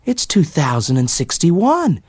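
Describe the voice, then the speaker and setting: A man's voice: a little high, huge pitch swings, a little slow, darkish tone, slightly rough, no room sound, pitched above the performer's own voice, exaggerated voice. A man voicing a small, earnest, curious boy with plain rustic speech.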